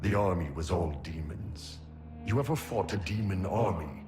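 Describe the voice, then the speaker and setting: An actor playing a grim, sardonic warrior dying of poisoning in a video game, gruff, blunt and darkly wry. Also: male voice, deep voice, normal speed, music in the background, close to an everyday voice. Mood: bitter, wry. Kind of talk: video game cutscene acting.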